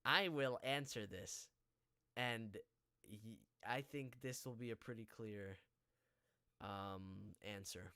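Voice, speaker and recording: A teenage male high school student hosting a casual podcast, talking in an earnest, halting, rambling way. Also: sharp tone, smooth, dry recording. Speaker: podcast host. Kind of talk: casual live podcast chat.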